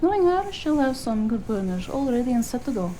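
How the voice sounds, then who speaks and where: A woman playing a bossy, sharp-witted cyberpunk fixer in a noir audio drama, sardonic and scolding. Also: fairly high pitch, very animated, a little fast, a little hiss or hum, poor audio. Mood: brisk planning. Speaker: voice actor.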